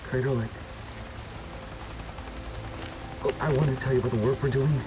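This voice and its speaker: Actor as guarded government researcher, music behind. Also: male voice, medium pitch, steady tone, rapid-fire, close to an everyday voice, rough recording. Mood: grave, urgent. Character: guarded government researcher, earnest and defensive, bearing warnings. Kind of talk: video game cutscene acting. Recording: loud music behind, game cutscene.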